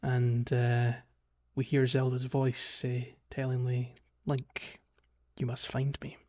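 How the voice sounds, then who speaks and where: A man's voice: mid-range voice, completely flat delivery, takes it slowly, a little mellow, lo-fi sound. A man talks casually as a podcast co-host recapping a game. His delivery is conversational and loosely explanatory, and he qualifies his points with small asides.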